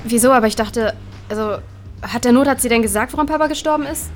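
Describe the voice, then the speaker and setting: A woman's voice: on the high side, quick pace, lightly acted. Woman acting a hesitant young woman, music underneath. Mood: unsure, probing. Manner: hesitant, stammering, colloquial. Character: upset, questioning young woman who lost her father. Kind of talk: naturalistic audio drama acting with narration.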